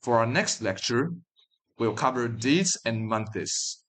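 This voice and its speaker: Slow, husky man teaching a language lesson. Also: mid-range voice, lively intonation, a bit bright. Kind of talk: slow repeat-after-me lesson narration.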